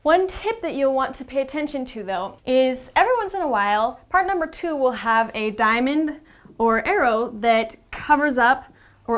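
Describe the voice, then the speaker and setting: Higher-pitched woman giving instructions. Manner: informal, step-by-step explaining. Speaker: instructor presenting a how-to series. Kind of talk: instructional demonstration, casually explained.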